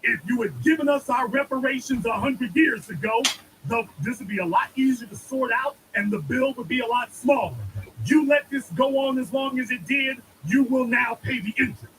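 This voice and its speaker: A man's voice: extremely high pitch, normal speed, fairly bright tone, a little hiss or hum, low-quality recording. A man giving an emphatic political monologue, preaching and repeating lines for effect. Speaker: commentator.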